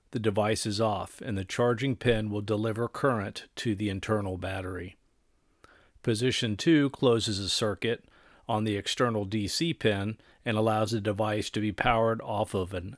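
A middle-aged man presenting radio equipment in scripted technical narration, with measured, formal and precise wording. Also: on the low side, no echo, clean studio sound.

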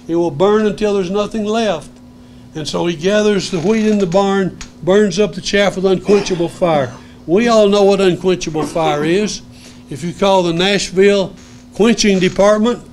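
A man's voice: high-pitched, a little mellow. An older man teaching a Bible class, earnest and emphatic, repeating his key words, on a lapel mic in a lecture room.

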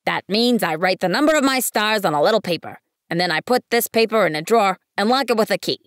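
A woman voicing a pompous, peevish middle-aged businessman who insists on matters of consequence, speaking loudly and firmly. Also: high voice, lively intonation, fast talker, no room sound, clean studio sound, pitched above the performer's own voice.

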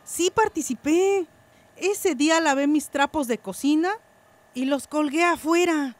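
A woman's voice: sits high, unhurried. A woman playing an earnest, principled village mother in a radio drama. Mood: quietly confiding.

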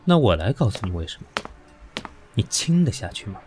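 Brief: an aloof, sharp-tongued boss, low voice, very lively inflection, soft music. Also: male voice, fairly quick. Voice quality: dry and cutting. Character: cool, sharp-tongued older man, a company boss. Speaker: voice actor. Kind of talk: audio drama acting.

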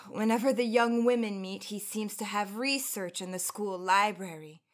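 A woman's voice: mid-range voice, moderate pace, natural voice. An actress playing an earnest, proud young college woman of the 1910s with formal, careful elocution in a radio drama. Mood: gracious. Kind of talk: period radio drama acting.

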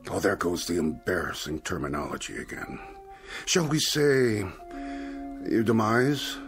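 A silky, devilish dealmaker in a radio play. His lowish voice swoops expressively through formal, unctuous phrases, with music strong behind him. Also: big pitch swings, measured pace, fairly bright tone, heavily acted. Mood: silky, explaining. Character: suave, devilish male dealmaker, slyly courteous. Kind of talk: radio drama acting.

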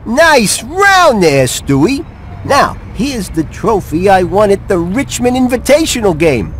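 A man voicing a proud, chummy male golf enthusiast in a game, unhurried in manner. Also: high voice, big pitch swings, fairly bright tone, slight background noise, heavily acted. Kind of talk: scripted game cutscene dialogue.

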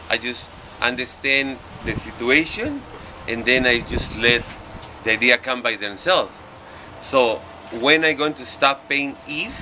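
A middle-aged man from Peru with a Spanish accent, talking casually in halting non-native English as an interviewed painter. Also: mid-range voice, pushed and bright, low-quality recording. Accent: Peruvian Spanish. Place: outdoors on a porch. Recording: outdoor street noise.

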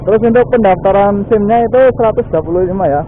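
High-voiced man vlogging from a motorbike. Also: fast talker. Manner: chatty, lots of "ya" and "guys" fillers. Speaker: motovlog host. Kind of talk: casual chat while riding. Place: riding a motorbike on city streets outdoors. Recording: tinny, phone-like with faint traffic noise.

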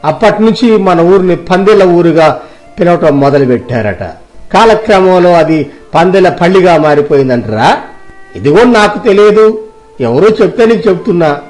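A man's voice: high-pitched, lively intonation, normal speed, darkish tone, faint music behind. A man playing a principled, kindly middle-aged farmer in a cartoon story, earnest and preachy. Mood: chatty.

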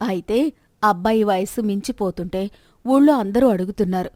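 A woman plays a middle-aged merchant's wife in a moral tale. She is practical and persistent, chiding and questioning at a middling pitch. The sound is close and dry. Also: a little fast, mellow, no echo, close to an everyday voice. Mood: chiding, persuasive. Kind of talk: moral story dialogue.

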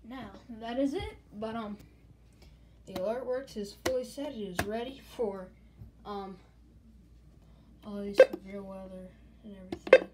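A woman hosting an informal show-and-tell vlog, talking haltingly into a handheld device in a small room at home. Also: mid-range voice, fairly even intonation. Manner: informal, halting, repeats stock phrases. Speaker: host of a gadget collection video channel. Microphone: handheld phone or camera, held close. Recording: noisy handheld home recording with clicks.

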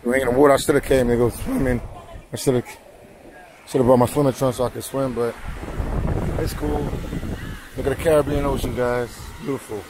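A man's voice: mid-range voice, fairly even intonation, a little fast, long pauses, background noise. A man with an American accent, a travel vlogger talking casually and slangily, often repeating himself. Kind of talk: casual vlog narration and chat. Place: outdoors on a busy beach, walking. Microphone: handheld camera held by the speaker. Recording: outdoor beach with people chattering around.

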